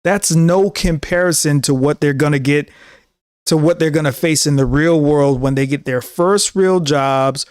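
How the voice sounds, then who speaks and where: A man's voice: on the high side, normal speed, smooth, no room sound, studio quality. A man in his thirties giving an earnest, preachy talk to camera as a show host, often repeating himself. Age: 30s. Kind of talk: casual monologue to camera.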